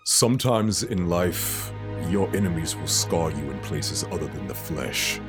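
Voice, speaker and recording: Man acting a slow, theatrical villain king. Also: deep voice, animated, over music. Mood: grave, tender. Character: proud, hot-tempered but tender self-proclaimed king of evil. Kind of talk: dramatic comic-dub voice acting.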